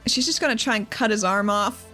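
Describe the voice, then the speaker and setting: A woman's voice: mid-range voice, a little fast, sharp tone. A woman chatting casually as a player in a tabletop roleplaying game, saying what her character does. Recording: music behind the voice. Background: background music.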